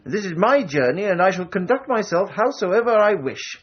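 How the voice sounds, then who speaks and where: High-pitched man's voice, haughty Edwardian gent, teasing, husky edge, muffled recording. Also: very animated, normal speed, full character voice. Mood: imperious. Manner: grandiloquent, teasing. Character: cocky, high-handed Edwardian gentleman adventurer. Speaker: actor in a radio play. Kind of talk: BBC radio period drama acting.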